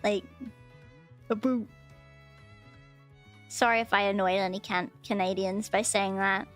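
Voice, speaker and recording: A woman's voice: mid-range voice, level tone, brisk, faint music behind. A young woman chatting casually as a livestream host, hesitant and often repeating her phrases. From Australia. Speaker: streamer, VTuber host.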